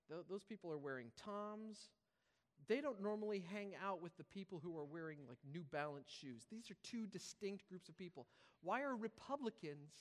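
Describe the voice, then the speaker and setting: High-voiced male preacher in a reverberant hall. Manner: repeats key phrases for emphasis. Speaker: pastor preaching to a congregation. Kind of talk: sermon, conversational and informal. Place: large reverberant room, preaching live to congregation.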